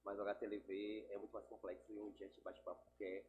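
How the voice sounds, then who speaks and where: A man's voice: lowish voice, fast, highs cut off. A man, a guest chatting casually in a podcast interview. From Salvador, Brazil. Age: 30s. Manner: relaxed, chatty, laughs easily. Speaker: guest, digital influencer.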